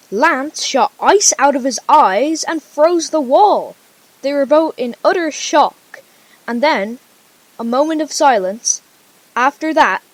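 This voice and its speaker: A woman's voice: fairly high pitch, low-quality recording. A female storyteller narrating an amateur radio drama informally, with casual conversational asides.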